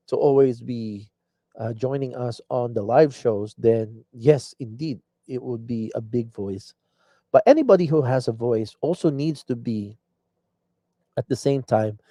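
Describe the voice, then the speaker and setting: A man's voice: mid-range voice, warm tone, no room sound. A man hosting a live online show, delivering an earnest, rambling political commentary monologue full of restarts. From Philippines.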